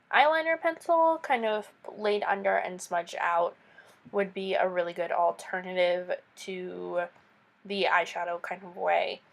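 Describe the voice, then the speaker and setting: A young woman with a stuffy, nasal voice from a cold, chatting through a makeup tutorial voice-over as a beauty vlogger. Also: mid-range voice. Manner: chatty, explains each step with casual asides.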